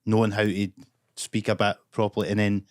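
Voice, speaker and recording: A man's voice: lowish voice, completely flat delivery, brisk, no room sound, clean studio sound. A young Scottish man with a Glaswegian accent, co-hosting a podcast in loose, chatty talk with swearing and laughter. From Scotland. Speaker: podcast co-host. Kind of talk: casual chat.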